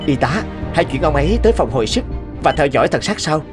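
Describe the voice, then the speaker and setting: An actor playing a composed hospital doctor in an animated drama, with a formal, measured manner. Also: male voice, a little high, over music.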